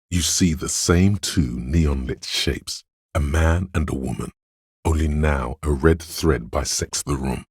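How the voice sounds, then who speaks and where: An extremely deep, husky inner voice of a detective's mind narrates a game in solemn, measured phrases. It is gravelly and very raspy, cerebral and hypnotic, recorded dry and close. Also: male voice, a little mellow, no echo, exaggerated voice. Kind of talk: dramatic game narration, second-person.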